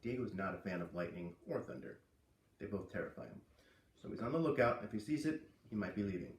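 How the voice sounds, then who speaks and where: A man reading a children's novel aloud as an expressive storyteller. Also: lowish voice, fast, slight background noise. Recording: roomy echo, distant from mic.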